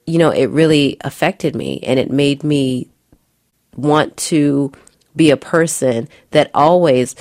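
A woman's voice: sits low, darkish tone, no room sound, clean studio sound. A woman with an American accent giving an earnest first-person account as a guest speaker. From United States, Midwest.

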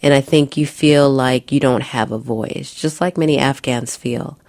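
A woman's voice: rumbling low, unhurried, a little mellow, no echo, studio quality. A woman with an American accent giving an earnest first-person account as a guest speaker. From United States, Midwest.

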